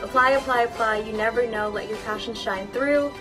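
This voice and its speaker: A woman's voice: on the high side, steady tone, a little fast, over music. A young woman intern giving an encouraging testimonial to camera. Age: young adult.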